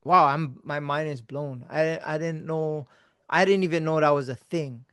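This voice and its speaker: A man's voice: a little high, normal speed, smooth, no echo. Laid-back man on a dry close mic. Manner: relaxed, laid-back, swears casually, trails off into mumbles. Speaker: podcast host. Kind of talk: casual chat with a friend.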